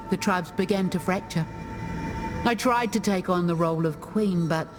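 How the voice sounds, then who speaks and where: A woman playing a proud, wise ancestral chieftain in a video game, speaking in measured, dignified storytelling. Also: mid-range voice, lightly acted. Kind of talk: scripted game character monologue.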